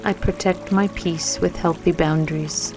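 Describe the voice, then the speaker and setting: A woman leading a scripted guided meditation with affirmations in a gentle, soothing manner, leaving long pauses between phrases. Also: lowish voice, fairly even intonation, poor audio. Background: music and trickling water.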